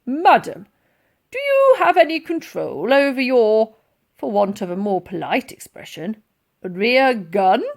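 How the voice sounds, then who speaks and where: Give the storybook an old sergeant major acted out by a woman, pompous and suspicious. He barks and huffs, stretching his words slowly and swooping in pitch with indignation. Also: sing-song, exaggerated voice. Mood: huffy, disgusted. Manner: barking, huffy, pompous, slow and emphatic. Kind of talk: children's storybook character voice.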